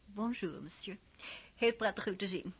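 A husky, dignified countess mother. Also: medium pitch, expressive. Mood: courteous. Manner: courteous, measured, motherly. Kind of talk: radio play acting.

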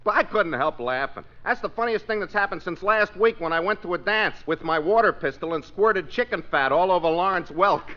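A man's voice: sits high, animated, fairly quick, hardly any pauses, sharp tone. A man playing a cheeky, shameless freeloading musician pal in brash 1950s radio comedy style. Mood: brash, joking. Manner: brash, wisecracking. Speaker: comic sidekick actor. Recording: muffled, noisy 1950s radio recording. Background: studio audience laughter.

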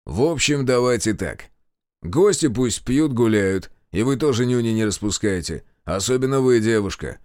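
A man playing a calm, wry Soviet militia major who speaks in a dry, measured way with a hint of irony. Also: mid-range voice, dry recording, natural voice. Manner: dry, measured, slightly ironic.

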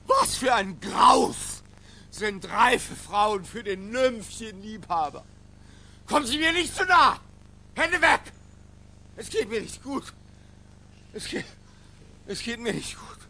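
An agitated middle-aged scholar lecturing in a radio play. His voice is bright and forceful, set rather high, with halting, fussy emphasis. It echoes through a reverberant hall over faint noise. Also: heavily acted. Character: agitated, pedantic middle-aged male academic lecturer, obsessive and unstable. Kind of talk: theatrical radio play monologue.

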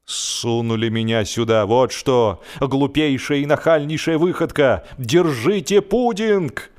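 Indignant sullen man, played by a male reader, accusing, emphatic, drawn-out delivery, comic storybook. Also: medium pitch, darkish tone, close to an everyday voice. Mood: outraged.

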